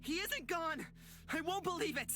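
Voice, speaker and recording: A woman voicing an earnest young protagonist in a visual novel game, speaking in deliberate, dramatic phrases. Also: very high voice, lively intonation, pushed and bright, heavily acted. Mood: desperate, pleading. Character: earnest young protagonist pleading with a possessed friend. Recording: music behind the voice.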